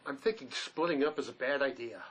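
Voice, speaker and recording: A man's voice: mid-range voice, fairly quick, fairly bright tone, close to an everyday voice, rough recording. A man acting an anxious, remorseful fugitive who plays peacemaker in a drama reading, earnest and pleading. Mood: worried, challenging.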